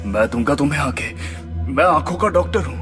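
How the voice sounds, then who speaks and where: A man playing frightened, earnest townsmen in an animated horror story, with dramatic delivery. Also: on the high side, brisk, lightly acted. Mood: fearful. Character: frightened townsmen: victim, shopkeeper, anxious doctor. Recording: music behind the voice.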